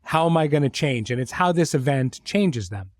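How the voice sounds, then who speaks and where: A man's voice: medium pitch, animated, normal speed, dry recording. A man talking reflectively as a series creator in a behind-the-scenes podcast, explaining things articulately with vivid imagery.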